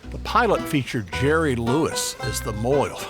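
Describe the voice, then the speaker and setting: Historian talking head male actor, deadpan, medium pitch, faint music. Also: moderate pace, natural voice. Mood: dry recollection. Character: dry male Hollywood historian being interviewed. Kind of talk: documentary interview commentary.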